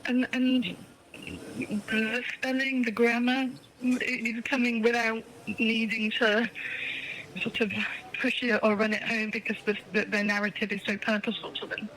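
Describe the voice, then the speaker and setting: A woman's voice: a little high, little pitch movement, a little slow, a bit bright, poor audio. A middle-aged woman being interviewed as a guest, speaking enthusiastically and emphatically, often repeating phrases for emphasis. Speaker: interview guest, children's storytelling project founder. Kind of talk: enthusiastic radio interview answers.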